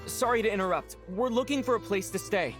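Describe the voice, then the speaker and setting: A man's voice: high-pitched, animated, fairly quick, soft background music. An actor playing a polite young male traveler in a video game, asking in a courteous, friendly way.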